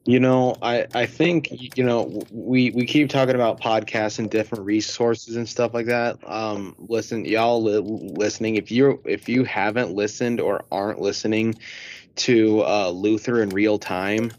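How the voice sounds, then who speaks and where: A man's voice: on the low side, fairly even intonation, normal speed, darkish tone. A man around thirty, chatting on a podcast and searching for his words. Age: 30s. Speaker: podcast co-host or guest. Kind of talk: casual theology podcast chat. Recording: slightly dull, lo-fi audio.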